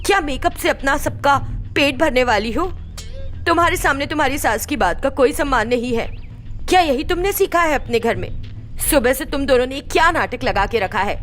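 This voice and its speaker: A woman's voice: high voice, animated, normal speed, a bit bright, soft background music. A woman voicing an older mother-in-law in a storybook tale, bossy and exasperated but placable. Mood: angry scolding. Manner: scolding, commanding homemaker. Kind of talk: acted dialogue in a Hindi moral story.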